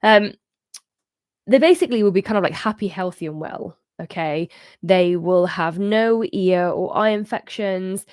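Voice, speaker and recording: A woman, congested and a bit muffled, talking casually to viewers on a live stream from a bright room at home into a studio mic. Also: mid-range voice, unhurried, clean tone, no echo. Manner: chatty, gesturing, rambling with restarts. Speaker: host of a cat energy channel. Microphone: studio microphone on arm in front of her.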